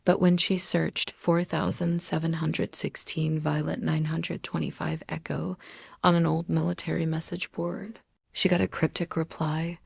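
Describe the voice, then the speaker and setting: Woman, low creaky voice, horror storyteller, deliberate dramatic pauses, dry close sound. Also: flat delivery, a little mellow, no room sound. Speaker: storyteller and channel host. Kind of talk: scripted horror story narration.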